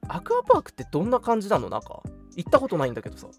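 A young man hosting a casual radio chat in an animated, teasing manner. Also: high voice, very fast, soft background music. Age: young adult. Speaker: radio show host.

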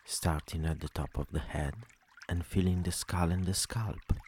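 Very low, mellow man guiding sleep meditation. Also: fairly even intonation, slight background noise. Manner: slow, soothing delivery with long pauses between phrases. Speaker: meditation guide. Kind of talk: guided sleep meditation, body scan.

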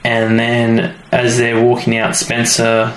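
A man's voice: mid-range voice, completely flat delivery, slow, echoey room, slight background noise, rough recording. A man co-hosting a podcast, chatting casually and jokingly in loose, colloquial phrases. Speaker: podcast co-host. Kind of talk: casual chat, recapping a story.

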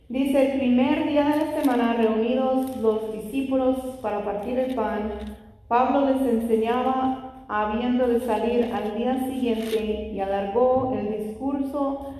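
A woman's voice: fairly high pitch, steady tone, takes it slowly, mellow, slight background noise. Woman teaching Bible study in echoey hall. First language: not Spanish. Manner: frequent "Gloria al Señor" interjections, asks the congregation questions. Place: church hall. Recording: echoey hall with long reverb.

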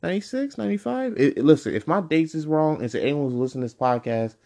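A man's voice: on the high side, expressive, fast talker, gravelly, a little mellow. A man in his twenties hosting his own podcast in a casual, rambling way, full of fillers and self-corrections. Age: 20s. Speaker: podcast host. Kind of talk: casual solo podcast monologue.

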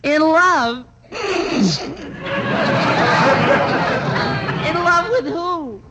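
An actor playing an eager, cocky teenage schoolboy in a 1940s radio sitcom. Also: male voice, extremely high pitch, slow pace, over a noisy crowd. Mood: incredulous. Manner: cocky, excitable. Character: eager high-voiced teenage schoolboy, brash. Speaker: student. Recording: hissy old radio broadcast.

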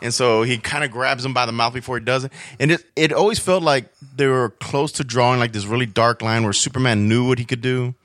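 A man's voice: medium pitch, a little fast, hardly any pauses, a bit bright, no echo. Casual man in dry, close sound. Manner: informal, rambling storytelling. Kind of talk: casual chat about superhero comics and cartoons.

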